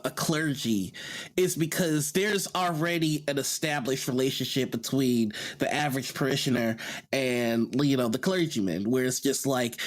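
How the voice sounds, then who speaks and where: A speaker with a medium-pitched voice chats on a panel about tabletop games. The delivery is conversational, and ideas are explained through examples. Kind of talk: casual tabletop game discussion.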